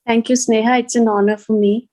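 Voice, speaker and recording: Mellow-voiced Indian woman, fairly high pitch. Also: flat delivery, a little fast. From rural Punjab, India. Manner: hesitant, reflective, often repeating words. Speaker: guest speaker, police officer and poet. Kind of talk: informal address to an online student session.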